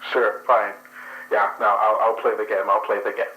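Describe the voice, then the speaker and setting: A man's voice: on the low side, flat delivery, moderate pace, pushed and bright, echoey room, poor audio. A man voicing a crude, irritable man with skunk traits in an actual play podcast, talking in a profane, sardonic way.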